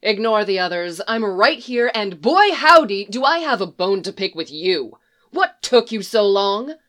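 A woman voicing a sarcastic, sharp-tongued horse who mocks the men around her. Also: medium pitch, sing-song, a little slow. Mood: sassy. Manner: sassy, mocking.

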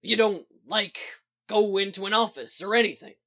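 A woman reading as a blunt, gruff male criminal partner in a story. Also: mid-range voice, takes it slowly, fairly bright tone, dull audio. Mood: upset, demanding.

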